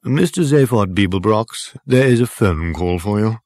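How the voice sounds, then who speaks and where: A man's voice: on the low side, animated, moderate pace, darkish tone, no room sound, studio quality, natural voice. A man voicing a prim, unflappable male waiter who speaks formally and deferentially. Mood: polite.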